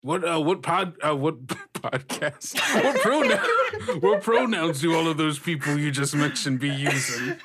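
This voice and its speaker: Animated, higher-voiced man hosting a podcast. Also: measured pace, a loud crowd. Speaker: game master and podcast host. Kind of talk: playful actual-play podcast introduction.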